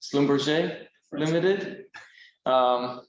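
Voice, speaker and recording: A man with a Greek accent hosts a seminar and introduces the guest speaker, halting with many fillers. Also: on the high side. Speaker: seminar host. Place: lecture room. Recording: echoey room, dull lo-fi sound.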